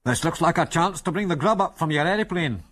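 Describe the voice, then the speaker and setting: A man's voice: on the high side, expressive, a little fast, a bit bright, voice pushed up. A man putting on an old Scots prospector. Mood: matter-of-fact. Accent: Scottish. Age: older. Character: old gruff Scots prospector, homely, plain-spoken, hospitable.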